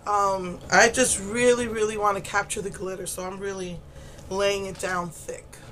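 A woman's voice: medium pitch, level tone, normal speed. A middle-aged woman talks through a makeup tutorial in a loose, rambling way, full of ums. Her voice is stuffy and congested as she recovers from laryngitis. She sits close to the camera in a small room, with a faint hiss behind her. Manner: chatty, rambling with many ums. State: congested, getting over laryngitis. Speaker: makeup vlogger. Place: indoors, small room against a pale blue wall. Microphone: camera close to the face.

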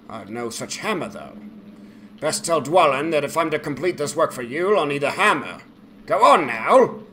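A man voicing a gruff, businesslike dwarf smith with a blunt, practical manner in a fantasy game. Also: on the high side, fairly bright tone, a little hiss or hum. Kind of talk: dramatized fantasy game quest dialogue.

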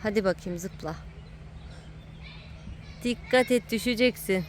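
A woman's voice: mid-range voice, takes it slowly. A woman giving casual, playful running commentary outdoors, encouraging and teasing a child at play. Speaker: adult filming and cheering on a child at play. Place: outdoor playground. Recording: noisy outdoor home-video recording.

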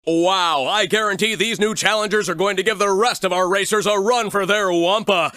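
A man's voice: sits high, fairly even intonation, moderate pace, sharp tone, no echo, studio quality. A man voicing a pompous cartoon rooster race commentator in a formal, theatrical sportscaster style. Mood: polished showmanship. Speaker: race show co-host. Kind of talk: animated sportscaster announcing.

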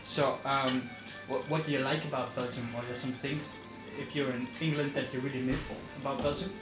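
Male interviewer, mid pitch, halting questions, roomy sound with loud music under him. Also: fairly even intonation.

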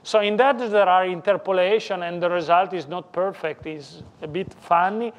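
A man with a strong Italian accent gives a technical lecture in English. He talks in a fairly high voice, stops often, and corrects his words as he goes. He is speaking in a lecture room. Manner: halting, self-correcting non-native English. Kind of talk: technical conference talk.

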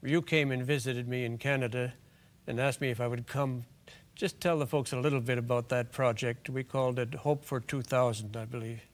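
An older man hosting a television program from a studio set, speaking in a measured, courteous way. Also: medium pitch, fairly even intonation. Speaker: host. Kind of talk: scripted television presenting.

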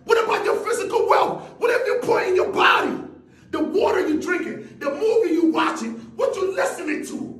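Fiery high-pitched shouting motivational man. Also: animated, moderate pace, roomy sound. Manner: fiery, preachy, hammering rhetorical questions. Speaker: motivational speaker.

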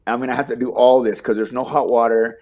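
A man's voice: medium pitch, little pitch movement, quick pace, darkish tone. A middle-aged man with a Texas accent, a guest chatting casually on a video call, rambling and repeating words as he starts sentences. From Texas, United States. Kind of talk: casual interview chat. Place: home room, on video call.

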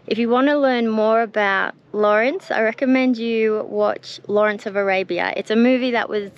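A young woman narrating a travel vlog in a bright, enthusiastic, chatty way, filming herself on an action camera held at arm's length. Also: fairly high pitch, fairly even intonation, normal speed, lo-fi sound. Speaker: travel vlogger.

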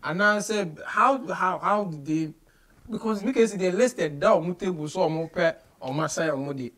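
Higher-voiced Ghanaian man interviewing. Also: normal speed, a bit bright. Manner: short interjections and follow-up questions. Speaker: radio show host. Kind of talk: casual interviewing. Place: small radio studio, indoors.

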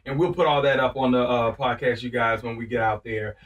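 A man's voice: medium pitch, steady tone, fairly quick, far from the mic, dull audio. An easygoing man hosting a radio interview from a studio. He keeps the talk moving with short nods of agreement and a mid-range voice. He sits a little off from the mic. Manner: easygoing, lots of short affirmations. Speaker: radio show host. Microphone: studio boom mic with headphones.

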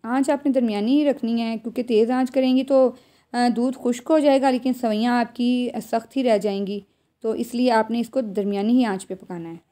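Home cook, female, Pakistani accent, mellow and a little high, instructive tutorial narration. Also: steady tone, normal speed. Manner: instructive, addressing viewers directly. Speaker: home cook presenting a recipe. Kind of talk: step-by-step cooking tutorial voice-over.

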